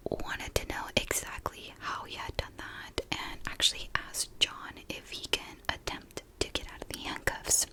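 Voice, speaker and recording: A woman whispering a true crime story in a soft, breathy ASMR style, unhurried and informal, like chatting to viewers. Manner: unhurried, informal, conversational narration.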